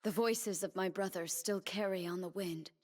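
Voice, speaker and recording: An actress playing a solemn, determined young woman warrior in a video game, speaking in a measured, earnest, resolute way. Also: medium pitch, lightly acted. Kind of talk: scripted video game character voice lines.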